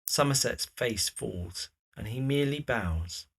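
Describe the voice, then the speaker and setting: A man's voice: medium pitch, lively intonation, measured pace, gravelly, a bit bright, breathy edge. A man reading scripted present-tense narration for an audio drama.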